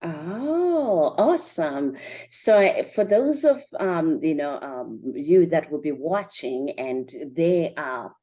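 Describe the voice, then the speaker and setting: A woman's voice: sits low, expressive, slow pace, warm tone. A woman hosting a podcast interview, putting questions to a guest and responding to the answers. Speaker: podcast host.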